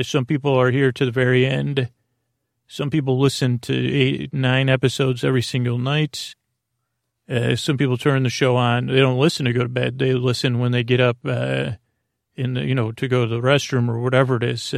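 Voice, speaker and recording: A man hosts a bedtime podcast in a creaky, mid-pitched voice. His delivery is lulling and friendly, wandering through circular tangents with halting phrasing. The sound is dry, with no room echo. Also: flat delivery. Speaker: podcast host. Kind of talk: rambling bedtime sleep podcast monologue.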